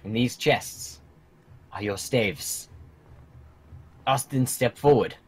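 A man playing a solemn dragon mentor in human form with a measured, portentous manner, in a gaming roleplay. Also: mid-range voice, slightly rough, low-quality recording. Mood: solemn, instructive. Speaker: mentor training young dragon riders. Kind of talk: fan roleplay acting in a gaming video.

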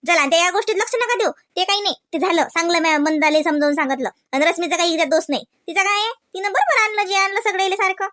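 A woman playing a chatty, dismissive village mother-in-law in a comedy cartoon, speaking with a rural Maharashtra accent in a brisk, insistent, reassuring way. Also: very high voice, a bit bright, no echo, studio quality. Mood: chatty, coaxing. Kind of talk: Marathi comedy cartoon acting.

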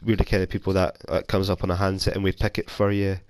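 A man's voice: sits low, monotone, fast talker, darkish tone, clear, resonant tone, no echo. A young Scottish man with a cold, rambling casually and self-deprecatingly while playing a video game. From Scotland. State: has a cold. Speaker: gaming YouTuber. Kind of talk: casual gameplay commentary. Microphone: console headset mic.

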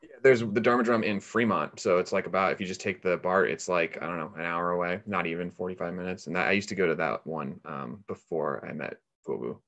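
A middle-aged man with a very deep voice speaks on a video call. He gives reflective interview answers and often halts to search for the next word. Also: brisk, fairly bright tone. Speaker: interviewed volunteer, meditation practitioner. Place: indoor room on a video call. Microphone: video call microphone.

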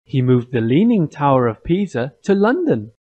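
A man's voice: fairly high pitch, sing-song, moderate pace, darkish tone, husky, lo-fi sound. A man with an American accent narrating a scripted children's story.

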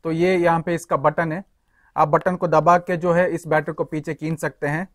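A young man explains a power tool part by part, talking to viewers as friends. His voice sits a little high and stays even in pitch. The sound is close and dry, with no room echo. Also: normal speed. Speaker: tool reviewer. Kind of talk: hands-on product walkthrough.

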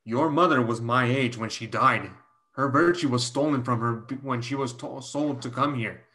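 Solemn protective guardian, mid-pitched man acting. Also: moderate pace, pushed and bright, natural voice. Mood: solemn, insistent. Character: stern protective Chinese man, older guardian of a girl. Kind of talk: staged play reading. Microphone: video call.

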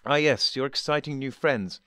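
A man voicing a cool, dispassionate older man who speaks calmly and dryly, with gentle teasing. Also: mid-range voice, moderate pace, no room sound, pitched above the performer's own voice, lightly acted. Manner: calm, dry, gently teasing.

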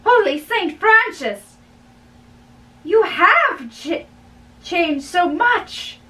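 A woman's voice: very high voice, animated, sharp tone, voice pushed up, full character voice. A young woman playing an exasperated, wise older holy man who scolds emphatically in a dramatic play reading. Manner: emphatic, drawn-out admonishing. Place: small bedroom, indoors. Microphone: camera a few feet away. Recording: distant mic in a bedroom.

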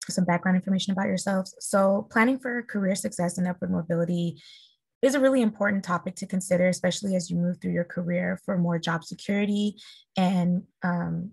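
A woman's voice: fairly low pitch, creaky, darkish tone, breathy edge, dry recording. A woman in her thirties moderating a webinar panel in a polite, formal way, inviting panelists to speak. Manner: polite and formal, leads the questions. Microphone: laptop mic on a video call.

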